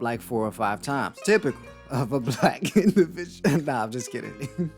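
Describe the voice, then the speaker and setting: A man's voice: mid-range voice, a little mellow. A man giving casual video commentary on a cartoon, wry and joking in a conversational way. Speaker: YouTube commentator.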